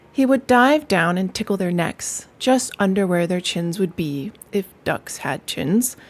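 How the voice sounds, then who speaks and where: A woman narrates a storybook aloud in a gentle, unhurried voice. She keeps things casual, with soft fillers between passages, and her pitch is moderate and even. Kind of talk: cozy read-aloud narration.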